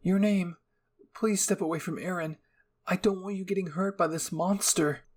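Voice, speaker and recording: A man's voice: sits high, fairly even intonation, moderate pace, darkish tone, pitched above the performer's own voice. A man voicing a protective, remorseful young woman soldier in an anime fan story, firm and pleading. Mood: urgent.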